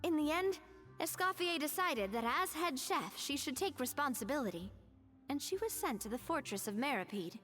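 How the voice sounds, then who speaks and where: A friendly young woman explains things in a high, animated voice. Her delivery is composed and clear-worded, in anime game style, with faint music behind. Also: moderate pace, long reverb tail. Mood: explanatory.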